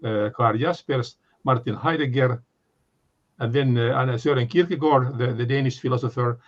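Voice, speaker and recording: An older man from Finland with a Finnish accent, giving an unscripted academic talk in a halting way, full of fillers and restarts. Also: medium pitch. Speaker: lecturer. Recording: dull lo-fi recording.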